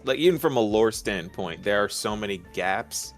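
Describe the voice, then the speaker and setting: Young guy w a lowish voice, casual gamer guest giving his opinions, music faint underneath. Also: animated, normal speed. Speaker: guest co-commentator on a game discussion. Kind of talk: casual chat.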